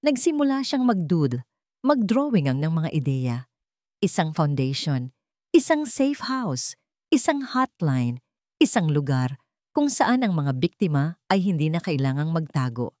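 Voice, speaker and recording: A Filipino woman narrates a scripted drama. Her voice sits a little low for a woman. The sound is dry, with very little room in it. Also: sing-song, measured pace, darkish tone, dry recording. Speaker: story narrator.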